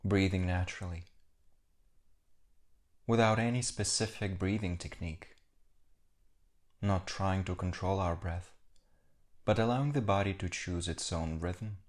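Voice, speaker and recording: Man, low pitch, meditation teacher, calm, measured short phrases, pauses, guided practice.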